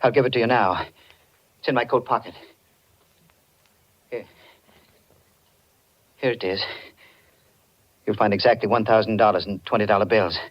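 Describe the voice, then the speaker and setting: A man's voice: fairly low pitch, fairly even intonation, normal speed, long pauses, close to an everyday voice. An actor playing an anxious, desperate married man with a guilty secret, in earnest, dramatic 1940s radio style. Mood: tense, resigned. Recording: dull, band-limited 1940s radio recording.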